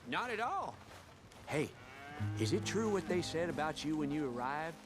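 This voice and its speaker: Chatty young ranch hand, American, fairly high lively pitch, stammering, deferential, western game, music behind. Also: male voice, normal speed. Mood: curious, sheepish. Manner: stammering, folksy, inquisitive. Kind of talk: western video game acting.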